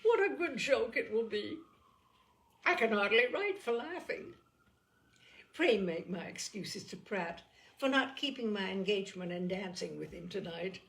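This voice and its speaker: A woman's voice: mid-range voice, lively intonation, unhurried, gravelly, a bit bright. Lady doing a silly giddy young girl from a storybook, all gushy and pleased with herself. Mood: giddy, gleeful. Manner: gushing, exclaiming, playful. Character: giddy, thoughtless, boastful young girl reading out as letter writer. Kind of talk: storybook character reading.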